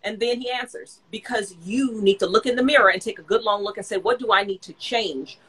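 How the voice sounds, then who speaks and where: A woman's voice: mid-range voice, fairly bright tone, breathy edge, rough recording. A woman in her thirties co-hosting a casual talk show, speaking emphatically about her own views. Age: 30s. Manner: emphatic, fast-talking storyteller. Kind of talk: casual chat.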